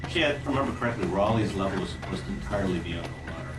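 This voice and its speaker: A man giving casual developer commentary over gameplay, agreeable and quick to laugh, often echoing his partner. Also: low-pitched, expressive. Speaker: game developer. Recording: roomy, distant mic, game music behind.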